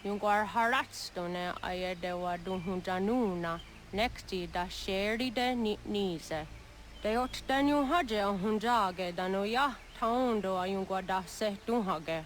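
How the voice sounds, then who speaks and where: A woman playing a grave village woman in a video game, speaking in a deliberate manner. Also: medium pitch, slight background noise, lightly acted. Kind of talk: scripted video game cutscene acting.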